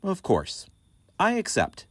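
Man acting grumpy ghost, wildly swinging pitch. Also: wildly swooping pitch, slow, a bit bright, no room sound, heavily acted. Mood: conciliatory. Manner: complaining, dryly comic. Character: grumpy, lonely male ghost haunting a shared house. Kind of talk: audio play acting.

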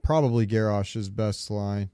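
Clear, dry-sounding man chatting casually. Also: on the low side, a little slow, mellow, no echo. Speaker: podcast co-host. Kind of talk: casual chat.

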